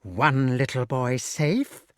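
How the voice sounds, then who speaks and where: A storyteller voicing a gloating old witch, crooning with mock sweetness and menace in exclamatory, drawn-out words. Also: medium pitch, no room sound, clean studio sound, close to an everyday voice. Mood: mock-sweet, gloating.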